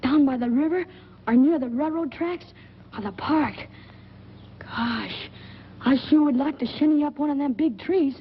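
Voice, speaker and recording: A man's voice: very high-pitched, measured pace. A young male actor playing a mischievous, restless small boy with slangy speech in 1940s film style. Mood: wistful, pally. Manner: slangy, boyish. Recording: dull, noisy old film soundtrack.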